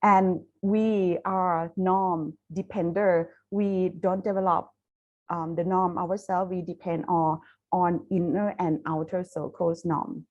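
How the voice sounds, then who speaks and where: A Thai woman with a Thai accent, speaking English as a foreign language, giving a careful academic webinar presentation with frequent pauses and restarts over a video call. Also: lowish voice, little pitch movement, highs cut off. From Thailand. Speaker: university lecturer presenting research.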